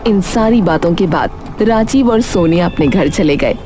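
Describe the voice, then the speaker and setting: A woman's voice: lowish voice, lively intonation, normal speed, noisy background. A woman delivering scripted storytelling narration for a cartoon tale.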